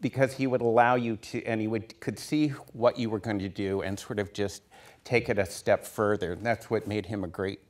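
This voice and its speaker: A man's voice: lowish voice, steady tone, moderate pace. A man in his fifties, a production designer on a stage panel, telling stories with many fillers and restarts into a handheld mic. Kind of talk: casual storytelling on a panel. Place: theater stage before an audience.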